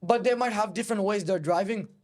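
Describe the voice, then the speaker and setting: A man's voice: high voice, quick pace, darkish tone. A man hosting a casual debate, asking needling questions in a hesitant, stop-and-start way. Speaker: host, debater. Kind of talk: confrontational casual debate interview.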